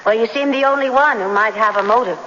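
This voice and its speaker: An actress playing a brisk, cool female police detective with terse, skeptical questioning, in 1970s radio drama style. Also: a little high, fairly bright tone, close to an everyday voice. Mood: matter-of-fact. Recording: dull, noisy old radio recording, reverberant hall.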